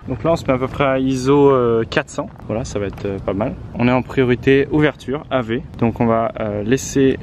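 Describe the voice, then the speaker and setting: A man's voice: a little fast, few pauses, darkish tone, soft background music. A man with a French accent from France chatting casually outdoors, explaining things informally and a little hesitantly as he goes. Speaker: vlogger's friend and photographer. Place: outdoors in a city at night. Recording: faint outdoor rumble and traffic noise.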